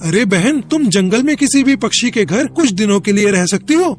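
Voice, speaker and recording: A man's voice: high-pitched, animated, moderate pace, over music. A man voicing a kind, brotherly talking cloud who gives reassuring advice in a children's moral cartoon. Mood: concerned. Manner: reassuring, advising. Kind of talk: Hindi moral cartoon dialogue.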